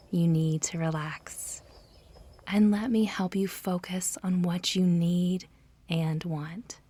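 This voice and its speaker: Slow, soft-spoken woman guiding meditation. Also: lowish voice, breathy edge. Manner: soothing, measured, instructing with pauses. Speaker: guided meditation narrator. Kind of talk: scripted guided meditation.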